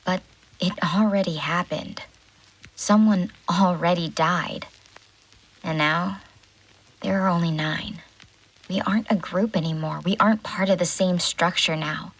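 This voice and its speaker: A woman's voice: lowish voice, rough recording. A woman playing a shaken, disbelieving ship's doctor, recording a confessional audio diary in a hesitant voice that tends toward a murmur. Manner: hesitant, halting, often dropping to a breathy murmur. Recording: loud music under the voice.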